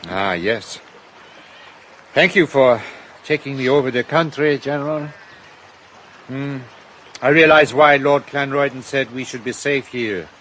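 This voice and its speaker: An actor playing a weary foreign guest who speaks hesitantly, in a radio drama. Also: male voice, medium pitch, a bit bright, a little hiss or hum, lightly acted, poor audio. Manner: slow, hesitant.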